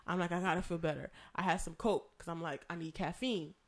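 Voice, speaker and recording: Hoarse co-host, bright, swears freely, rambles through stories. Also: medium pitch, fairly even intonation, fast, a little hiss or hum. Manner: profane, rambling storytelling. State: hoarse, losing voice from a cough. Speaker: podcast co-host. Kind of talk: casual chat.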